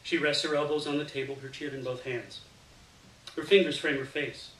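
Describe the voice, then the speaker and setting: Bright-voiced man reading fiction, roomy and distant. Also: fairly high pitch, fairly even intonation, normal speed. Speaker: story reader. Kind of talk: live literary fiction reading, first-person narration. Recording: roomy, distant mic, faint hiss.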